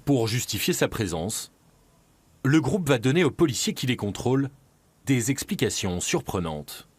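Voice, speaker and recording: A man with a French accent from France narrates an investigative documentary in a measured, serious and dramatic scripted style. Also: mid-range voice, big pitch swings, slightly rough, no room sound. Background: background music.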